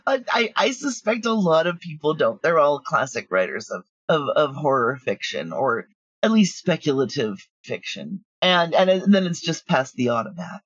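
A woman with a husky voice in a casual, rambling, anecdotal interview as a guest. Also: low voice, big pitch swings, moderate pace, no room sound. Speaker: interview guest, audio drama creator. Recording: dull, lo-fi, dry sound.

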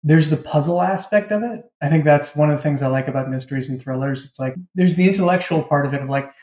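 A man with a somewhat high, very mellow voice explains things in casual interview chat as a writer. The room around him rings with echo. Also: fast talker. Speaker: novelist and creator, interview guest. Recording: echoey room sound.

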